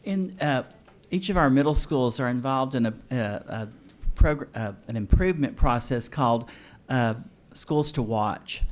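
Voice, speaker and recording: Man, board meeting, award announcements, halting, lots of fillers. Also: medium pitch, slight background noise. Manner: halting, with frequent uh and restarts. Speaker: school district official presenting awards.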